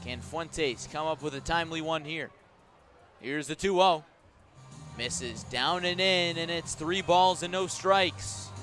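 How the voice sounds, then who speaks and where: A man doing live radio play-by-play of a baseball game, with a measured call that rises sharply on big plays. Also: on the high side, a bit bright, soft background music.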